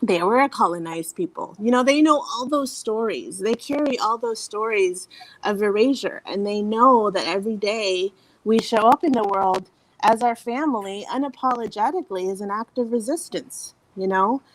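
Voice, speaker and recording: A woman's voice: mid-range voice, normal speed, no room sound, low-quality recording. A woman who grew up in the Philippines and speaks Tagalog as her first language, talking earnestly and reflectively as a guest parent over a video call, with many "you know" fillers. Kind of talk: casual panel conversation. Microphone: video call from a hotel room.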